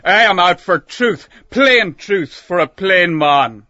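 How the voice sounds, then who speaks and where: A man's voice: high voice, animated, takes it slowly, pushed and bright, dry recording, lo-fi sound, voice pushed up, full character voice. A man voicing a carping, pedantic literary man with an emphatic manner, in an audiobook drama.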